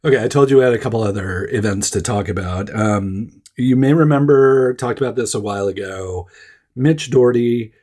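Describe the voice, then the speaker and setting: A middle-aged man with a monotone, nasal voice, hosting a casual solo startup news show and talking chattily with small laughs between phrases. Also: mid-range voice, moderate pace. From Oregon, United States. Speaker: startup news podcast host.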